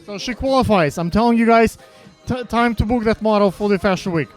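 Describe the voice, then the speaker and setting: A man from Ukraine interviewing models at a rooftop fashion event, halting and repeating words in a casual way. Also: high-pitched, faint music behind. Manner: halting, repeats words, non-native phrasing.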